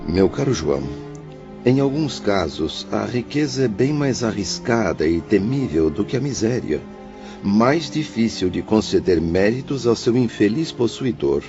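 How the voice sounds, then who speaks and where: Deep-voiced, solemn male spirit played by a man. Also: big pitch swings, a little slow. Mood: grave, determined. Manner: grave, formal, declamatory. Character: solemn, remorseful male spirit of a former suicide, preparing for rebirth. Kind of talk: dramatized audiobook acting. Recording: dull lo-fi audio with music behind.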